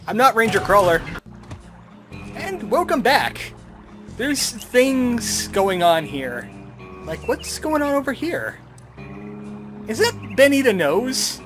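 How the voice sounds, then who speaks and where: A man's voice: very high-pitched, very animated, takes it slowly, long pauses, music in the background. A man giving casual commentary as he plays through a video game. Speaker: let's player.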